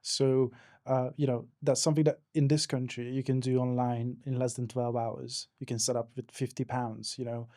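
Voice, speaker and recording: Mellow Hungarian-accented man, dry close sound. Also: medium pitch, flat delivery, normal speed, hardly any pauses, no echo. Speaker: interview guest, digital marketing professional. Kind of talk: casual explanatory interview answers.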